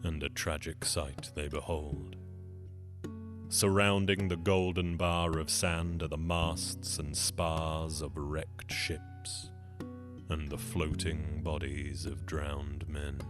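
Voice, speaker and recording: A man's voice: extremely low pitch, flat delivery, slow, music in the background. A man telling a folktale as a storyteller, in a dramatic, theatrical narrating style.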